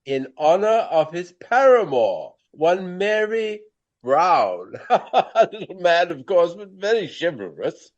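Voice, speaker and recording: An aging Regency actor for a radio play. He is a pompous, nostalgic dandy whose rather high voice swoops theatrically through florid phrases, with grand pauses. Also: very animated, highs cut off, exaggerated voice. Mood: amused gossip.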